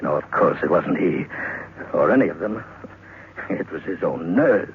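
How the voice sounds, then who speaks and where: Low-voiced man narrating horror on tinny old radio. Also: animated, slight background noise. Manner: suspenseful, deliberate phrasing. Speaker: storyteller. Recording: thin, band-limited vintage radio recording with music.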